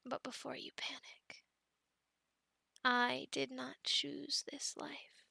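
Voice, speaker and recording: Possessive lovestruck she-werewolf, played by a woman, ASMR roleplay. High, very creaky whisper, deliberate and coaxing with quiet menace. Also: level tone, dry recording, clean studio sound, exaggerated voice. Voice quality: breathy whisper with creaky edges.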